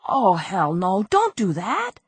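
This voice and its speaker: A woman voicing a nervous, whining small-time male crook who pleads in rough grammar. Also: mid-range voice, wildly swooping pitch, slow pace, no room sound, voice pushed up, heavily acted. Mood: whiny pleading.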